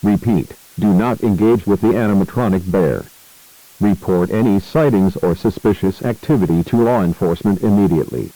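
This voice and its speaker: Man, dry sound, mellow low voice, formal, grave, measured, scripted emergency alert announcer. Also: no echo, rough recording.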